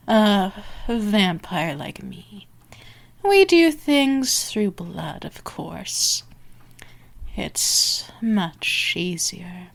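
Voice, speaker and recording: A woman playing a dominant, possessive female vampire in an ASMR roleplay, teasing and taunting as she slips between whispers and sharp commands. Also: medium pitch, gravelly, full character voice. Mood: condescending.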